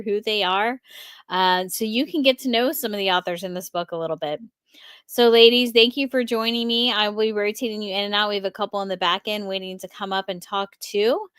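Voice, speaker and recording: A woman's voice: mid-range voice, a bit bright, clear, resonant tone, no echo, clean studio sound. A woman hosting a live book-launch stream over a video call, introducing guests with enthusiasm. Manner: enthusiastic, quick when handing over to guests. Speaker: host of a book launch livestream.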